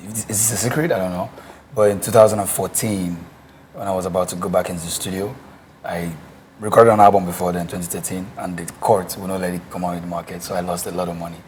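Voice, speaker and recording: A man's voice: low voice, little pitch movement, a little mellow, slight background noise. A man, a guest musician telling stories earnestly in a casual panel chat. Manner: quick, earnest storytelling.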